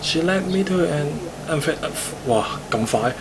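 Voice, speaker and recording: A man who is a native Cantonese speaker, streaming a visual novel with narration and casual chat, reading haltingly at times. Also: fairly high pitch, lively intonation, background noise. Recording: game music behind.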